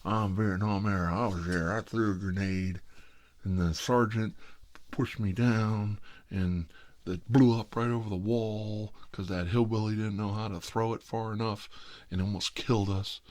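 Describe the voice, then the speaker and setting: A man's voice: fairly low pitch, steady tone, unhurried, darkish tone, no room sound, lightly acted. A man voicing his rambling, boastful old father in a storytelling ASMR recording, grumbling and self-pitying.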